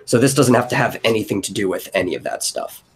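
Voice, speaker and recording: A man's voice: fairly low pitch, quick pace, slightly rough. A man hosting a casual brainstorming chat, thinking aloud in a loose way. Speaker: host of a brainstorming stream. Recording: noticeable background noise.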